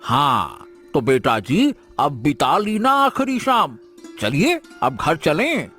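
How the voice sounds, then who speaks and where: Guy doing fussy cartoon village dad, high sharp voice, swoops around, mock-polite scolding. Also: big pitch swings, slow pace, husky, soft background music, heavily acted. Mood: bossy coaxing. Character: fussy middle-aged village father scolding his daughter.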